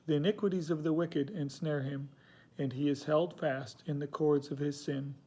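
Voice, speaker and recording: Mellow-voiced man reading scripture. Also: a little high, steady tone, moderate pace. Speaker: scripture reader. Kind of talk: solemn scripture reading, measured recitation.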